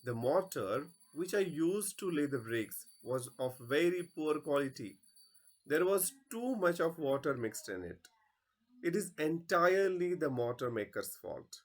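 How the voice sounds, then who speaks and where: A man's voice: fairly high pitch, lively intonation, a little slow. A man voicing a fearful bricklayer in a stage play, pleading for mercy and shifting blame.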